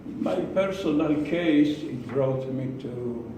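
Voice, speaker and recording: A man with an Israeli accent giving a prepared speech to a club, slow and deliberate, with pauses and repeated words. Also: fairly high pitch, animated, vocal fry, background noise. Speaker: club speaker. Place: meeting room. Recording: echoey room from far off.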